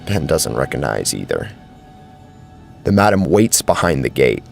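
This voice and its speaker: A man's voice: extremely low pitch, sing-song, unhurried, vocal fry. A man narrating a scripted horror story as a brooding, dramatic storyteller. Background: faint music.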